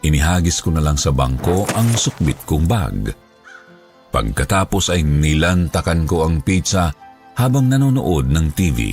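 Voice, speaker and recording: A man with a Filipino accent narrating a scripted first-person horror story in a dramatic, deliberate way. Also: a bass voice, gravelly, a little mellow. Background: background music.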